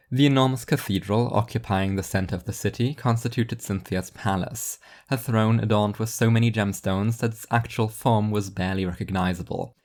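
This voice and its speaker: A man's voice: lowish voice, creaky, darkish tone. A man reading scripted fiction as an audiobook narrator.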